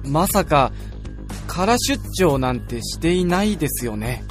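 An actor playing an earnest, excitable and gullible young junior researcher in a radio drama. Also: male voice, a little high, a little slow, over music. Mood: urgent.

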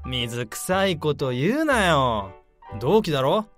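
A friendly, easygoing middle-aged manager in a radio drama. He sounds casual and reassuring, with a slightly raised voice and a very lively pitch. Faint music plays underneath. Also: slow pace, exaggerated voice. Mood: breezy. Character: easygoing male manager, friendly to his old peer. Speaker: section chief, colleague of the protagonist.

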